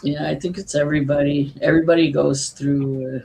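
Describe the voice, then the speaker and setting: A woman with a husky smoker's voice, chatting slowly as a guest on an online call and laughing between halting phrases. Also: sits low, fairly even intonation, a crowd in the background, rough recording. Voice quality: husky, says she has a smoker's voice. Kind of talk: casual chat.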